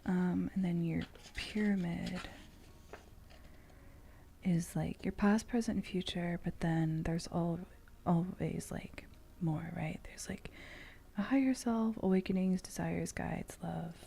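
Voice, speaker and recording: A woman chatting casually in an ASMR style, with a soft, breathy, often whispered voice and a halting, meandering manner. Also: lowish voice, fairly even intonation. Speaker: streamer host.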